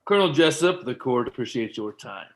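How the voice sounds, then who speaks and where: A man playing a stern, authoritative military judge in a dramatic script reading, formal, measured and firm. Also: medium pitch, lively intonation, close to an everyday voice.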